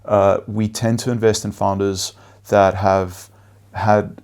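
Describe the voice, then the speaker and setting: A man whose first language is Afrikaans, giving measured interview answers as a venture investor, with frequent fillers and listed points. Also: on the low side, little pitch movement, a little mellow, smooth.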